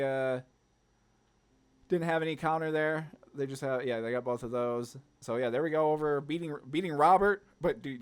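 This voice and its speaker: A man giving casual gameplay commentary, thinking aloud in a laid-back, slangy way. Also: fairly high pitch. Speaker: card game video host. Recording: music under the voice. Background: music.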